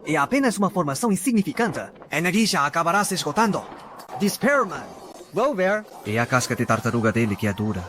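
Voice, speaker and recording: An actor voicing an arrogant young sect master in a dubbed motion comic, talking with scorn and pride. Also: male voice, on the high side, big pitch swings, brisk, noisy background. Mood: commanding. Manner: haughty, contemptuous.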